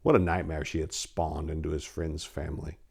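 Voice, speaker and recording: A man's voice: very deep voice, little pitch movement, moderate pace, vocal fry, darkish tone, breathy edge. A man with a theatrical, hammy delivery, speaking to listeners as a storyteller. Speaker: narrator and story editor. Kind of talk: dramatic story narration and informal talk to listeners.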